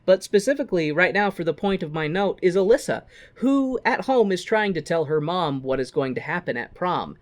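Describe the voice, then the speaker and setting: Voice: mid-range voice, expressive, brisk, few pauses. Podcast co-host, mid-range voice, casual recap, irreverent, profane, jokey.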